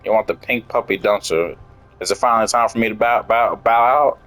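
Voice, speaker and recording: A man's voice: on the low side, a little fast, fairly bright tone. A man giving casual, slangy game commentary as a streamer, irreverent and profane. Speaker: gameplay streamer. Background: faint game music.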